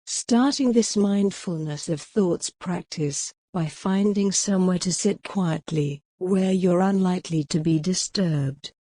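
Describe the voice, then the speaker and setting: Female meditation guide, lowish pitch, very unhurried and measured, close dry sound. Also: no room sound. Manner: measured, instructional, gently pausing between phrases.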